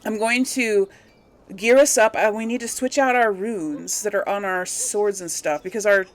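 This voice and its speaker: A woman's voice: medium pitch, normal speed. A woman giving casual gameplay commentary, rambling and thinking aloud as she goes. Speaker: let's play host.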